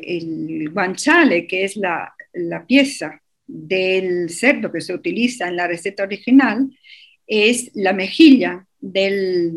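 A woman guest on a radio interview, explaining at length with many hesitations and restarts. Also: medium pitch. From Europe.

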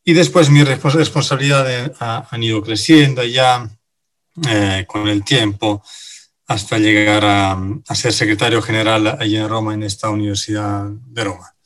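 An Italian man, a native Italian speaker, answering interview questions in a halting way, filling pauses with many fillers and restarting words. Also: fairly low pitch, steady tone, a bit bright. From Italy. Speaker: interview guest. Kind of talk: conversational interview.

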